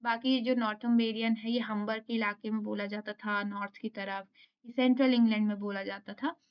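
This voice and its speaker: Indian woman lecturer, slow read-aloud and re-explaining, slightly high pitch, dull lo-fi audio. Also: steady tone, clean tone. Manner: explains, reads English notes slowly, then repeats them. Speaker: teacher. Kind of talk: exam lecture, reading notes aloud and explaining.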